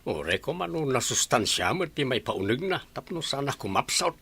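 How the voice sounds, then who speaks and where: A Filipino man acting a grumbling, critical old father in a radio drama, with a gravelly voice and a Filipino accent. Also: medium pitch, sing-song, normal speed, pushed and bright, exaggerated voice.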